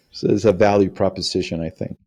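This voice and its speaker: A man's voice: deep voice, a little mellow, slightly rough. A man who is not a native English speaker, a guest on a podcast panel, explaining how he works in a direct, matter-of-fact way. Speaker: podcast guest, security practitioner. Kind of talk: casual panel chat.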